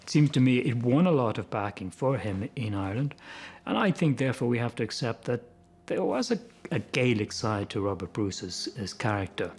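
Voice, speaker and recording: A man, a historian, explaining things in an animated way in a documentary interview and thinking aloud as he goes. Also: mid-range voice, moderate pace, husky.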